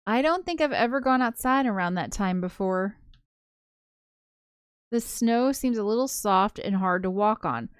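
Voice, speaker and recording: A woman reading a visual novel aloud as a let's play host, shifting between expressive narration and casual commentary. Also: medium pitch, measured pace, clean tone, no echo, clean studio sound. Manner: giggly, breaks off into casual asides.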